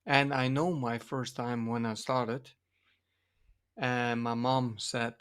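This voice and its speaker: A mature man whose first language is Dutch chats on a podcast. He speaks very slowly and haltingly, searching for words, in a mid-pitched voice. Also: level tone, a bit bright, clear, resonant tone. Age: middle-aged or older. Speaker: podcast co-host, dance teacher and coach. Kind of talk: casual podcast discussion.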